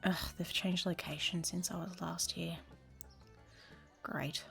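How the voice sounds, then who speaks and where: A woman's voice: lowish voice, little pitch movement, slow, gravelly, a bit bright, soft background music, full character voice. A woman playing a worldly, flirtatious traveler in an audio roleplay, speaking to the listener in a teasing, often half-whispered way. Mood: playful bossy.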